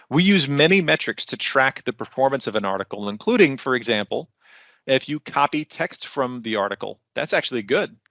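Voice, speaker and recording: A man explains technical material in an online conference presentation. His mid-pitched voice has a noticeable fry to it. He phrases things like an instructor, with light asides. The sound is close and dry, a little muffled and lo-fi. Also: fairly bright tone, no echo. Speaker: technical content developer presenting.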